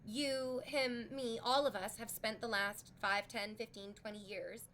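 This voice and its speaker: Rambling streamer woman with a high voice. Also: fairly even intonation, unhurried, crowd noise. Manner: rambling, frank, heavy use of "like". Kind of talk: casual chat with live chat.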